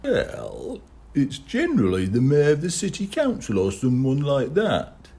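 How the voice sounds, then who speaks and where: A man's voice: mid-range voice, huge pitch swings, unhurried, mellow, breathy edge, voice pushed up, full character voice. A man voicing a doubtful young boy who speaks carefully and hesitantly in an audiobook.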